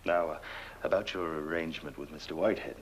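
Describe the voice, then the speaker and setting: An actor playing a suave, scheming lawyer in horror-comedy film dialogue, with theatrical emphasis and hesitant murmurs. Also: male voice, extremely low pitch, steady tone, quick pace, muffled recording, slight background noise. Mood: sly, confident. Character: suave, scheming male lawyer wooing a young widow.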